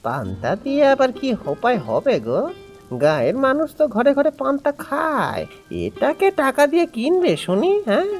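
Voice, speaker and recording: A woman voicing a worried, weary village father in an animated cartoon story, with an expressive, fretful delivery. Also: sits high, moderate pace, faint music behind.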